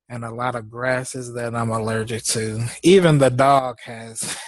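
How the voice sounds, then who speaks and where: Slow, laid-back congested man. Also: mid-range voice, no echo. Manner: laid-back, rambling, laughs often; slow, drawn-out talk. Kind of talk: casual chat.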